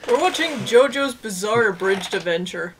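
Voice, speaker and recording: Woman, mid-pitched, animated delivery, mocking casual banter, faintly noisy recording. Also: normal speed. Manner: mocking, sardonic. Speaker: co-host of an anime review show.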